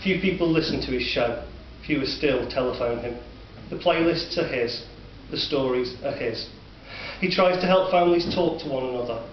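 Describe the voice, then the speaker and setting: A man with a Northern English accent narrating a dark story in a measured, sombre way. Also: medium pitch. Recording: distant, roomy, dull recording with faint hiss.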